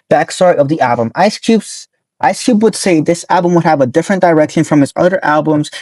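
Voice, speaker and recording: A man with a hoarse, worn voice reviewing albums from notes in a casual way, often correcting his own slips. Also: on the high side, dry recording. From Nevada, US. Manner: reads quick notes, corrects himself, chuckles at slips.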